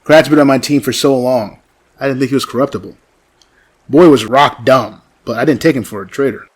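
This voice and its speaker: A man's voice: medium pitch, fairly quick, slightly rough, lightly acted. A man acting a stern but loyal mercenary squad leader in a sci-fi audio drama. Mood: rueful.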